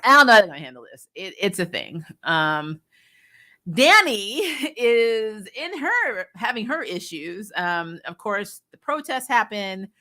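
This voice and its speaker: A woman's voice: mid-range voice, very animated, a little slow, sharp tone. A woman hosting a casual TV episode recap, talking in an informal, slangy, chatty way. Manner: informal, slangy, chuckles often. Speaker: host of a TV episode review show.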